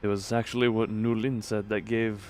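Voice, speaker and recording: A man's voice: on the low side, flat delivery, brisk, a little mellow, slight background noise. A man live-streaming a game, reading the dialogue aloud dramatically and adding casual asides. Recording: game music behind the voice. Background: game music.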